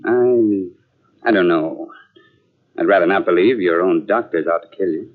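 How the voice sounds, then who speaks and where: A man's voice: sits low, measured pace. An actor playing a dry-witted young man turned ghost hunter, in 1940s radio acting style. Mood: wry. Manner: dry, wry. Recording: tinny old radio transcription.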